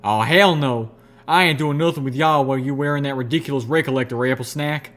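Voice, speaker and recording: A man voicing a fiery, proud country mare with a drawl, shouting in strained, indignant outbursts. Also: medium pitch, fairly quick, lightly acted. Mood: scolding. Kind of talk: audiobook reading.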